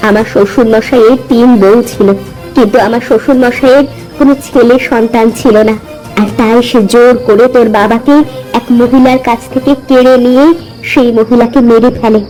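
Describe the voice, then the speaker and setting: A woman's voice: fairly high pitch, a little mellow, natural voice, poor audio. Woman as a grave, stern estranged mother. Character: stern estranged mother from a wealthy palace family. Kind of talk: Bengali animated moral story dubbing.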